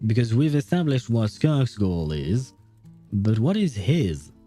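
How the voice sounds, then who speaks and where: A man giving casual livestream commentary on a game, musing aloud and pausing to think. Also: medium pitch, very animated. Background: soft game music.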